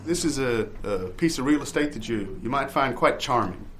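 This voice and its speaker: A man playing an evasive, sales-hungry landlord in an improvised comedy sketch, with halting, stammering salesman patter full of euphemisms. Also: mid-range voice, lively intonation, a bit bright, slight background noise, lightly acted. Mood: eager selling. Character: evasive, sales-hungry male landlord showing shabby rental bungalow.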